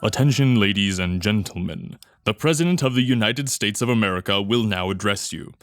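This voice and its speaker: An actor playing a formal announcer making an official emergency broadcast announcement in a radio drama. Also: male voice, lowish voice, brisk, faint music behind, lightly acted. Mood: formal, urgent. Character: formal male broadcast announcer introducing the President.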